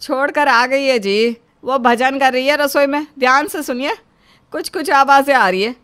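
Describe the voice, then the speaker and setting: A woman's voice: high voice, moderate pace, fairly bright tone. A woman voicing an anxious middle-aged mother-in-law, nagging and urgent, in a cartoon story. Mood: calling, explaining. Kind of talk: Hindi cartoon story acting.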